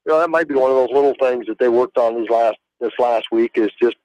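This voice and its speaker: A man's voice: mid-range voice, fast, no echo, muffled recording. An American man giving casual, rambling color commentary on a live baseball radio broadcast. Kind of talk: casual live game analysis.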